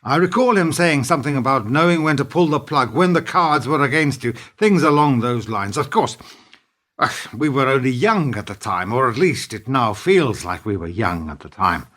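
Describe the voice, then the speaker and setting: A man acting in a radio play as a bitter, principled business partner, speaking in a measured, sardonic, needling way. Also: mid-range voice, animated, a bit bright, lightly acted. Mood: wistful, reflective.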